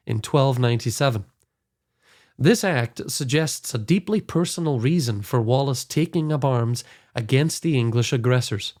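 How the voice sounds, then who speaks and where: This is a man in his forties hosting a podcast, his voice a little hoarse. He reads a scripted introduction in an earnest, enthusiastic storytelling manner, at a middling pitch. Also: darkish tone. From Scotland. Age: 40s. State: slightly hoarse. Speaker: podcast host.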